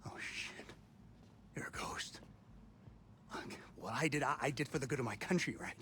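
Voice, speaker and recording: An actor playing a defensive, self-righteous older army general turned traitor, blustering in a video game. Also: male voice, medium pitch, moderate pace, pushed and bright, whispered, close to an everyday voice. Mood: indignant, defensive. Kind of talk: video game cutscene acting.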